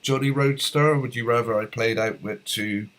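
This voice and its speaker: A man hosting a live stream, reading out viewers' comments in a halting, rambling way, his voice going hoarse as a cold comes on. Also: medium pitch, fairly even intonation, a bit bright. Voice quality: slightly hoarse. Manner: rambling, halting, many fillers.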